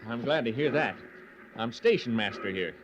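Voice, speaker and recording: An actor playing a fussy, insistent, complaining railroad station master in an old radio western. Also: male voice, mid-range voice, expressive, normal speed, close to an everyday voice. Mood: agitated. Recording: dull, noisy old radio recording.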